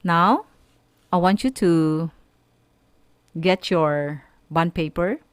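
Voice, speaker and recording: A woman with a Filipino accent giving an instructional radio lesson to students in short, deliberate, encouraging phrases. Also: fairly low pitch, expressive, clear, resonant tone, dry recording. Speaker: radio teacher.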